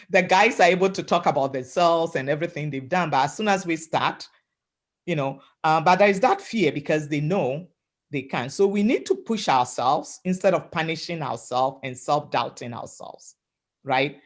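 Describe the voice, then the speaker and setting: A woman from Africa speaking on a webinar panel, giving an emphatic motivational talk in a loud voice over a video call and often asking "right?". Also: sits low, lively intonation, normal speed. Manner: loud voice, emphatic, repeats "right?" and "you know".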